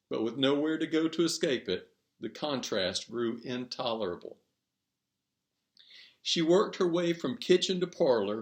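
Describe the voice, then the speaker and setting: A man narrates a short story at a slow pace. His pitch sits in the middle range, and he moves through the text phrase by phrase in a measured, deliberate read-aloud style. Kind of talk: scripted narration, storybook reading.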